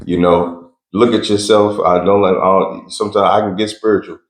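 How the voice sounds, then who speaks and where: A man's voice: deep voice, steady tone, moderate pace, roomy sound. A young man answering interview questions in a laid-back, blunt way with slang and frequent laughs. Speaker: interview guest.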